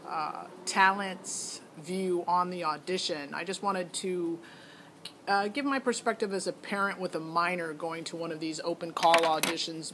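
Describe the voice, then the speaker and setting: A woman's voice: on the low side, a bit bright, slight background noise. A middle-aged woman giving a casual, rambling personal account as a parent, chatty and emphatic. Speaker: parent vlogger.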